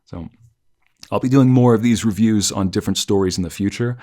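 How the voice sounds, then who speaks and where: A man talking through a conversational review as a host, reflective and halting, often rephrasing his thoughts as he goes. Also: lowish voice, dark tone. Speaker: host of story review channel, narrator.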